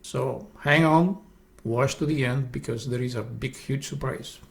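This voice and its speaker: A man speaks English with a Polish accent, Polish being his first language. He hosts an esoteric presentation in an earnest, halting way, often restarting his sentences. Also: medium pitch, vocal fry. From Poland. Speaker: host.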